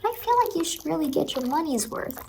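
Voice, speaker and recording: A woman voicing a cheery, eerie clown ice-cream vendor in a horror ASMR roleplay, softly coaxing and reassuring a child up close over background noise. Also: high voice, lively intonation, fast, vocal fry. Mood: chatty, coaxing.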